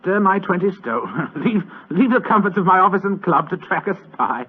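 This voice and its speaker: An actor playing a fat, lazy, superior British government official in a 1940s radio drama, with a languid, pompous, condescending manner. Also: male voice, high-pitched, expressive, normal speed, breathy edge. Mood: pompous, amused. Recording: old muffled 1940s radio recording.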